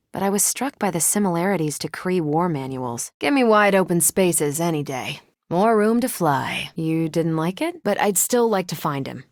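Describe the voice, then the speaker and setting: A woman's voice: fairly low pitch, no room sound, clean studio sound. An American woman voicing a confident, wry military superheroine in a video game, with a casual, dryly humorous manner. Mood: reflective, wry. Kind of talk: video game character dialogue.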